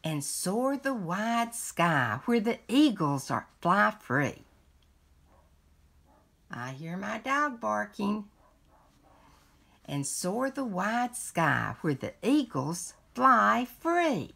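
An older woman reading a picture book aloud for young children, pronouncing it word by word in a deliberate way. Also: mid-range voice, sing-song, vocal fry, fairly bright tone. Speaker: read-along host. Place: indoors at home.

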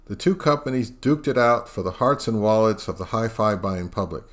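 A middle-aged man hosting an audio review channel, reading scripted narration in a measured, explanatory way. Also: mid-range voice.

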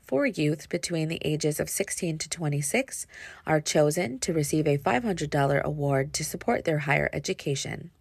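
A woman's voice: low voice, animated, moderate pace, gravelly, a little mellow, no echo. A woman, a youth development specialist, reading a scripted presentation over slides on a webcam.

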